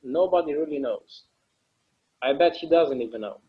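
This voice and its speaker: A man acting a weary, wistful game obsessive in a casual, halting conversational style at a table read. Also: on the high side, phone-quality sound, close to an everyday voice. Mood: wistful, relieved.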